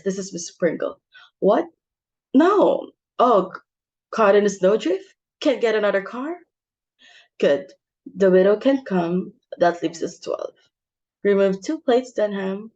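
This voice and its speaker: A woman's voice: medium pitch, a little mellow, lo-fi sound. A woman playing a bossy, social-climbing society mother with theatrical exclamations in an amateur stage comedy reading. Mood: dramatic, gushing.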